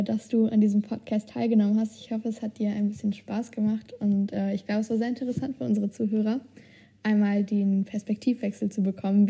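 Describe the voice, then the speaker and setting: Husky, gravelly woman interviewer. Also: mid-range voice, mellow. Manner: friendly questioning, encouraging. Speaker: podcast interviewer. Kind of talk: casual podcast interview.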